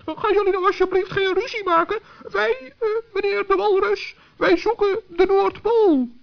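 A man's voice: very high voice, normal speed, creaky, voice pushed up. A man voicing a little forest gnome, polite, earnest and hesitant, in a children's radio play. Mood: pleading. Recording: muffled old sixties recording.

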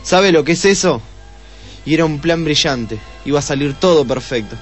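An actor playing a desperate, lovelorn swindler in a radio melodrama, speaking haltingly. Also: male voice, on the high side, animated, soft background music, close to an everyday voice. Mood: defeated. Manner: halting, stammering, repeating words. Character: desperate, lovelorn male swindler, cornered and sorrowful. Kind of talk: melodramatic radio drama acting.

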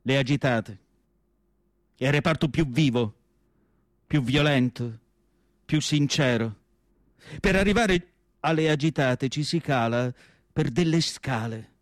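A man reciting literary prose as a radio theatre monologue, solemn and declamatory, with deliberate phrasing and dramatic pauses. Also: mid-range voice, dry recording, studio quality.

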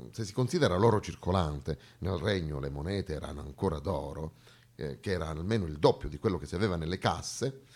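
A man performing a rhetorical stage monologue, emphatic and swinging between hushed and loud delivery. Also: deep voice, husky. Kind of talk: theatrical monologue on history.

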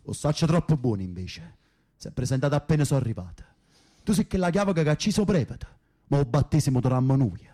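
A man plays a young Naples mobster behind bars in a radio play. His voice is dark, at a middling pitch, with a Neapolitan accent and a coarse, gruff, confrontational temper. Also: lively intonation, fairly quick, lightly acted. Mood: agitated. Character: coarse, volatile young Neapolitan Camorra foot soldier in prison. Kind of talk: radio drama acting.

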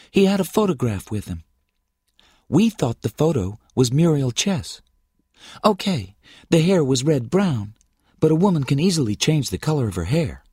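A man's voice: a little high, dark tone, breathy edge, no echo, studio quality, pitched above the performer's own voice. A man voicing a forthright, curious young small-town woman in an audiobook, in a confiding conversational way. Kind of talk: audiobook character voice.

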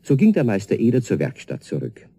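A man's voice: fairly low pitch, huge pitch swings, a little fast, warm tone, husky. A man narrating a scripted children's story as a storyteller, addressing his young listeners directly.

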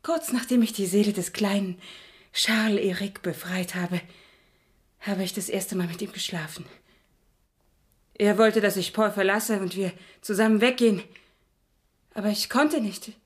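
An actress in a radio play playing an unhinged, possessive murderess, taunting and dramatic, turning from sweet to venomous. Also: female voice, medium pitch, natural voice. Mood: dreamy, confessional. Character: unhinged murderess, possessive, mocking, chillingly sweet about killing.

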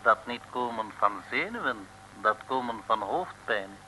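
Give this sly, boastful villain a very sharp, forceful voice and a slow delivery. He talks in pompous, mock-humble broken grammar, referring to himself in the third person. It is an old radio serial with faint noise. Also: medium pitch, animated. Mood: wary. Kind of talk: 1950s-60s radio serial acting. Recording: noisy old radio broadcast recording.

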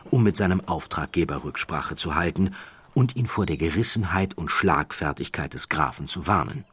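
A man narrating an audiobook story in a measured, deliberate way with theatrical emphasis, close to a studio microphone. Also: sits low, slightly rough, no room sound. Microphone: close studio microphone, dry.

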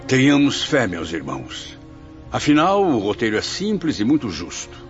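A man's voice: mid-range voice, huge pitch swings, moderate pace. An actor playing a devout male spirit in a radio drama, preaching solemnly to his brothers. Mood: devout exhortation. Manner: preachy, declamatory. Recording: dull lo-fi audio with background music.

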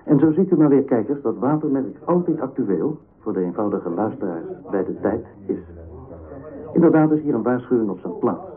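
A man's voice: medium pitch, expressive, normal speed, lightly acted. Man acting a pompous TV presenter, narrow-band. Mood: solemn warning. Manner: pompous, formal. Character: formal, condescending male television presenter of current affairs. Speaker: TV programme presenter. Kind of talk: scripted radio comedy acting. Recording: narrow-band old radio recording.

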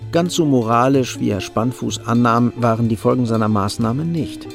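A man's voice: mid-range voice, normal speed, gravelly, faint music behind, close to an everyday voice. A man narrating a literary radio drama as a sober storyteller. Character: sober storyteller of a young couple's hardships.

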